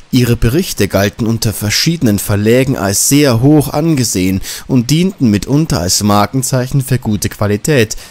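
A man's voice: fairly low pitch, darkish tone. A man narrating a scripted horror story as an audiobook.

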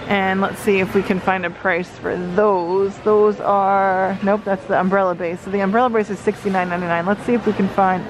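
A woman, chatting casually to viewers as a shopping vlogger, thinking aloud as the items come up. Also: mid-range voice. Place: large indoor warehouse store. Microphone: handheld vlog camera close to speaker. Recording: noisy big warehouse store ambience.

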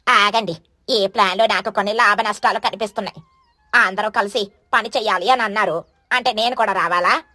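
Grumpy, lazy mother crow for a bird cartoon, played by a woman: scolding, scornful, pitched down, dry sound over music. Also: steady tone, fairly quick, bright, forceful tone, no echo, natural voice. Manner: grumbling, scolding. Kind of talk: animated children's moral story.

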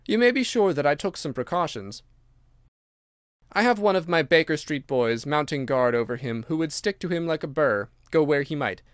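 Precise, assured detective, read by a male narrator; measured delivery, slightly higher voice. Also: lively intonation, dry recording, clean studio sound, lightly acted. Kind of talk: audiobook character reading.

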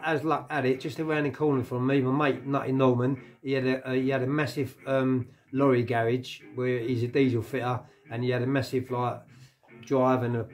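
Middle-aged London man, flat-toned storyteller. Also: medium pitch. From England. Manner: colloquial, sweary, repeats phrases. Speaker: vlogger telling stories of his past. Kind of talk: casual anecdotal storytelling to camera. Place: living room at home.

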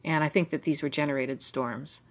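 A woman's voice: sits low, fairly even intonation, normal speed. A middle-aged woman from the United States talks through her old journal pages in a rambling, musing way, full of fillers and asides. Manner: musing, stream of consciousness, many fillers. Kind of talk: rambling personal commentary.

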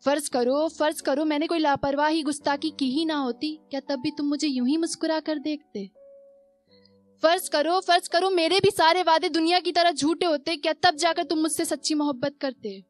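A young woman recites spoken word poetry into a stand mic on a small stage, her voice high. She repeats lines for drama, lifts toward questions and slows into soft refrains. Faint music plays under her. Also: fairly even intonation. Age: young adult. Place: small stage in a performance venue.

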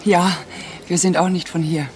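An actress playing a practical, politely reserved wife in a radio crime drama. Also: female voice, on the low side, level tone, moderate pace, a little mellow, close to an everyday voice.

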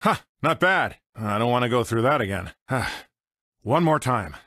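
A man's voice: medium pitch, expressive, moderate pace, fairly bright tone, no echo. An American man playing a cocky, wisecracking space smuggler and pilot in a video game, with a dry, sardonic, swaggering manner. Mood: smug, wry. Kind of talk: video game character voice clips. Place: dry studio recording.

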